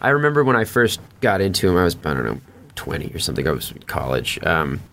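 A man's voice: low voice, big pitch swings, fast talker, creaky, a bit bright, a little hiss or hum. A man chatting casually as a podcast guest, telling stories in a blunt, slangy, sweary way. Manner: blunt, slangy, heavily profane. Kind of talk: casual storytelling and chat.

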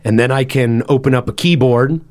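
A man in his thirties with a loud, carrying voice, co-hosting a podcast and chatting casually with lots of "you know" and "like". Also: mid-range voice, a little fast. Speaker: podcast host and band member.

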